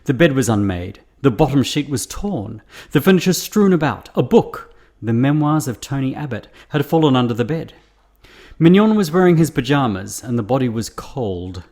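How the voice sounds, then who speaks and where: A man playing a serious private investigator in a radio mystery play, speaking in a grave, deliberate way. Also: medium pitch, animated, a little mellow. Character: serious male private investigator narrating a murder case.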